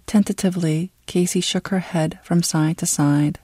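A narrator reading literary fiction in a measured, dramatic storytelling way. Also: mid-range voice, steady tone, dark tone, husky, no echo. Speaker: audiobook narrator.

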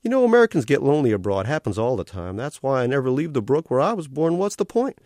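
A relentlessly cheerful electronic otter, pitched far up into a sing-song carnival patter and sitting louder than the story around it. He chirps every line like a friendly salesman, bright and bouncy, with wide swoops in pitch. The delivery is a storybook character voice. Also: sing-song, fast talker, dry recording, studio quality. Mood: chirpy. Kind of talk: audiobook character voice.

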